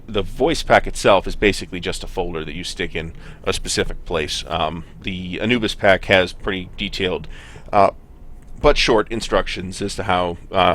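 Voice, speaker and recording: A man giving casual gameplay commentary and software explanation in a hesitant, rambling way. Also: low voice, a little hiss or hum. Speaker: gaming video host. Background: spaceship game ambience.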